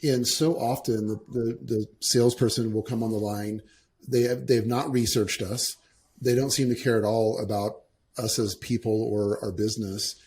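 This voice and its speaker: A man's voice: on the low side, flat delivery, moderate pace, darkish tone. A man hosting a podcast, speaking earnestly in casual conversation and often repeating words as he forms his thoughts. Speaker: podcast host.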